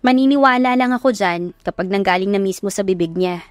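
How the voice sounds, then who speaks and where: Young romantic heroine acted by a woman: earnest, self-doubting, confiding, medium-pitched. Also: a little fast, dry recording, studio quality, close to an everyday voice. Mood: doubtful. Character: lovestruck, doubtful young woman from humble background.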